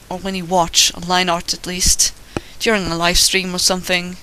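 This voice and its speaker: A man's voice: high-pitched, pushed and bright, clean tone, poor audio. A man with a Swedish accent talks casually while he draws, rambling, self-deprecating and swearing now and then. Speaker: digital artist narrating his drawing. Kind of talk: casual commentary over a drawing. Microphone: voice-over recorded while drawing.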